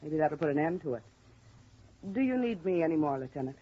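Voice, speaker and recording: A woman's voice: low voice, sing-song, fairly quick. An American woman playing a poised, polite woman in hiding, composed, in a 1950s radio drama. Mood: regretful, composed. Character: poised, polite female nightclub comic in hiding. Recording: muffled vintage radio recording.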